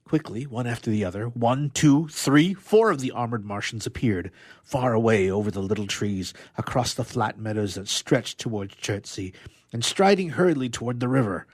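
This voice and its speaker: A man reading an excerpt from a novel in a measured, expressive, dramatic narrating style. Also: medium pitch, no room sound. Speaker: radio player reading a literary excerpt.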